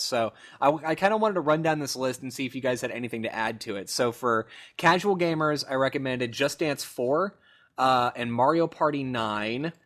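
A man hosting a casual gaming podcast, telling stories with animated asides. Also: medium pitch. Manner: animated storyteller, quick asides. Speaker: podcast host. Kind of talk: casual chat.

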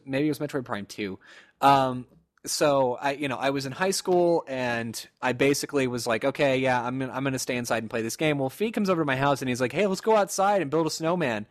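A young man in his twenties co-hosting a casual gaming podcast, rambling through stories with lots of fillers and laughter. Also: mid-range voice. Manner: rambling storyteller, laughs often, many fillers. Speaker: podcast co-host.

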